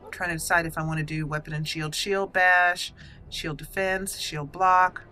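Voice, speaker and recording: Woman, slightly low voice, let's play host, casual gameplay commentary, musing aloud. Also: fairly even intonation, moderate pace, pushed and bright, soft background music. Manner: musing, talks through choices to herself.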